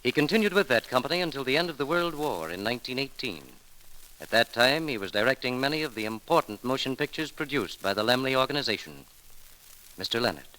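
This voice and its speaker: A man's voice: mid-range voice, animated, fast, bright, forceful tone, low-quality recording. An American man narrating a scripted radio memorial as announcer, solemn and formal with dramatic phrasing, in a vintage 1930s broadcast.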